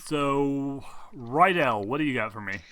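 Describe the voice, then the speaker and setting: A man's voice: medium pitch, animated, measured pace, fairly bright tone, slight background noise. A man acting as game master, chatting casually and bantering with his players, exclaiming and swearing. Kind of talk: casual chat, running a tabletop game.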